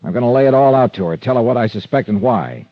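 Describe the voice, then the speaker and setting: An American actor playing a tough, wry insurance investigator in 1950s radio drama, clipped and sardonic. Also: male voice, medium pitch, expressive, fast talker, no echo, lightly acted. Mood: firm, suspicious. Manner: hard-boiled, clipped, sardonic. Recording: dull old radio recording with music.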